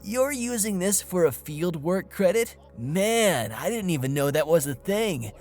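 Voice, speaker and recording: A man's voice: high-pitched, big pitch swings, unhurried, darkish tone, faint music behind, exaggerated voice. A man playing a laid-back, cocky young student with a casual, breezy drawl in a comedy audio drama. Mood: surprised, casual.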